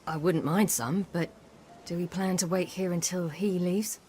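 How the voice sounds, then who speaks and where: An actress playing a headstrong young warrior woman in a video game, spirited and articulate, quick to challenge. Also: on the low side, fairly even intonation, mellow, slight background noise, natural voice. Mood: curious, wry. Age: young adult. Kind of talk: cinematic video game acting.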